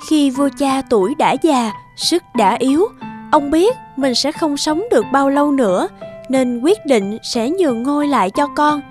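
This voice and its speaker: A woman's voice: sits high, lively intonation, measured pace, darkish tone, soft background music. A woman reading scripted fairy-tale narration as the narrator.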